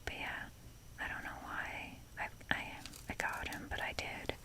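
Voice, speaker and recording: A woman whispers a casual ASMR ramble at an unhurried, slow pace. She is self-deprecating and swears offhandedly as she drifts along. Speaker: ASMR video creator.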